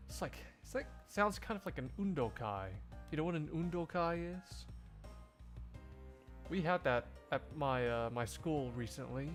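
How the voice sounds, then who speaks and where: Casual man streamer with a lively voice. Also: a little high, unhurried, faint music behind. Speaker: streamer doing a one-man live fandub. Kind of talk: casual livestream chat.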